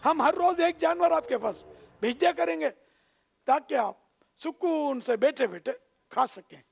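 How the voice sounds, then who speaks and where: A man's voice: extremely high pitch, lively intonation, a little slow, creaky, pitched above the performer's own voice, heavily acted. A man voicing a humble spokesman for jungle animals in a children's story, politely coaxing a king. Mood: deferential, coaxing.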